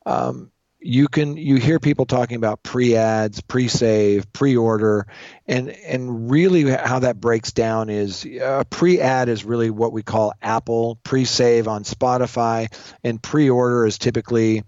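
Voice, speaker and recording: Male co-presenter, muffled dry lo-fi track, informal explanatory talk, measured and a little hesitant. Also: mid-range voice, steady tone, darkish tone, no room sound. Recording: dull lo-fi voice track.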